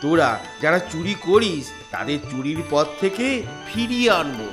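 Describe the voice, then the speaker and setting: A man's voice: on the high side, very animated, moderate pace, a bit bright, faint music behind. A man voicing a preachy, earnest older schoolmaster in a comic cartoon, lecturing emphatically.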